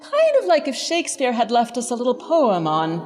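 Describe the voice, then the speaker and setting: A woman lecturer with a fairly high voice and lively pitch movement. She is articulate and dryly funny, stressing her points. A long hall reverb surrounds her, with faint music behind. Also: moderate pace. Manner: wry, articulate, emphatic. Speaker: professor, lecturer. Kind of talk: scripted lecture with dry humour.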